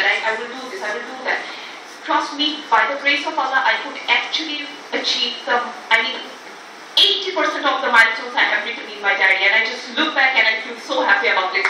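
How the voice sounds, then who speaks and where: A young woman from Bangladesh giving a talk to a live audience, quick, chatty and self-deprecating. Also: high-pitched, noisy background. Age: young adult. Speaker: speaker at an event. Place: hall before a live audience. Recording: echoey hall from far off.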